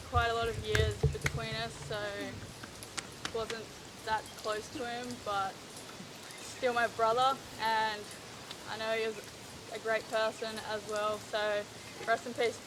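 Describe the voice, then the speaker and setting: A woman speaks slowly and flatly from far off the mic, shy and faltering, her words trailing off. Rain and outdoor noise are clearly heard around her. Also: fairly high pitch. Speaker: sister of the deceased. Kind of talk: nervous funeral speech.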